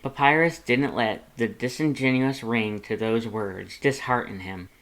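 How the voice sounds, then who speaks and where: A man narrates a piece of fiction from a script. His pitch sits in the middle, and he reads as a storyteller would. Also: a little slow, a bit bright.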